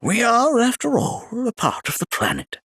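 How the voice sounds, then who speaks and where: An actor voicing a wise, kindly old scholar in a video game, with a raspy, aged voice and a ponderous, formal manner. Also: male voice, very high voice, huge pitch swings, normal speed, a bit bright, no echo, clean studio sound, heavily acted. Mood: philosophical, grave. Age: older. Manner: ponderous, formal, archaic storyteller phrasing. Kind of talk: video game character voice acting.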